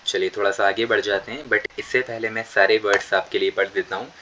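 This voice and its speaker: A man with an Indian English accent runs an online spoken English class, his voice bright and forward. He says his model sentences slowly and with care. The sound is dull and lo-fi, like audio from an online call. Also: fairly low pitch, fairly even intonation, slight background noise. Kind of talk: online class teaching, mixing Hindi and English. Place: online session.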